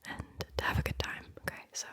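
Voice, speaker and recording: A woman whispering a playful guided visualization as an ASMR host, close to the mic. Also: quick pace.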